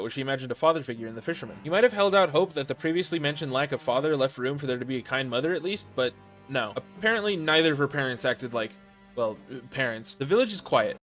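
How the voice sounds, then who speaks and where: A man delivering scripted commentary on a visual novel as a video essayist, with expressive, wry asides. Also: mid-range voice.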